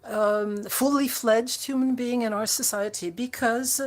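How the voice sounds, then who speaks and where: A woman interpreting a conference speech live, halting often with fillers and restarts. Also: a little high, fairly even intonation. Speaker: simultaneous interpreter.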